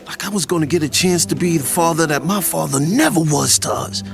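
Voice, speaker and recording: A man's voice: a little high, fairly quick, creaky, music in the background. A man with an American accent playing a guarded, protective older brother behind bars in an audio drama, talking bluntly. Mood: earnest, hurt. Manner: blunt, colloquial.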